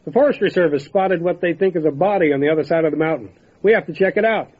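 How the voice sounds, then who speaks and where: A man's voice: a little high, quick pace, close to an everyday voice. A man playing a cynical, world-weary sheriff's lawman in a radio drama, wry, folksy and matter-of-fact. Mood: businesslike. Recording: tinny phone-like band, noisy outdoor ambience.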